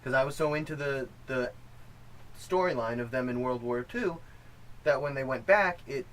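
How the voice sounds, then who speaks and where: A man's voice: mid-range voice, moderate pace, poor audio. A man co-hosting a film review show in a casual, conversational style. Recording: faint room noise.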